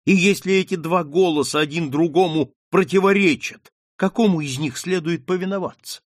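Man putting on a young woman, anguished daughter, impassioned rising pleas, higher voice, dry close sound. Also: normal speed, no echo, lightly acted. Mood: pleading. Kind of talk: audiobook character reading.

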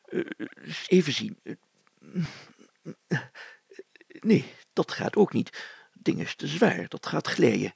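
A man's voice: on the high side, big pitch swings, takes it slowly, creaky, long pauses, a little mellow, husky, no echo, full character voice. A man voicing a pompous, officious and fussy village mayor in a storybook reading, speaking stiffly and formally with flustered hesitations.

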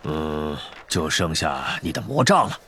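A man voicing a big, kindly, blunt giant of a man in a storybook, with a gruff, hoarse voice. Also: very deep voice, very animated, unhurried, fairly bright tone, slight background noise, voice pushed down, full character voice. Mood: eager, generous.

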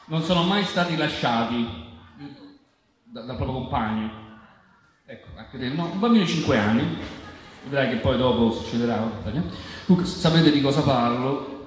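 Man performing on a very echoey stage. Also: medium pitch. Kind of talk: comic monologue addressed to an audience. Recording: echoey, reverberant stage with faint noise.

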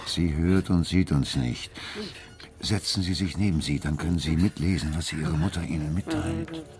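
An actor playing a solemn gentleman guiding a seance in a radio drama, giving hushed-room instructions with gravity. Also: deep voice, animated, moderate pace. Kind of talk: German radio drama acting.

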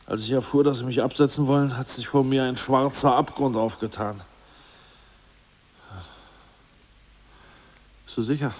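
A man's voice: medium pitch, steady tone, a little fast, darkish tone, lightly acted. Man doing a whiny worried party official, kinda plaintive, radio play. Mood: anxious. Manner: fretful, plaintive, complaining. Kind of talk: 1980s radio drama acting.

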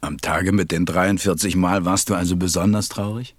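A man playing a stranded pilot who narrates a radio play in a measured, reflective way. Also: sits low, steady tone, slightly rough, no echo, clean studio sound.

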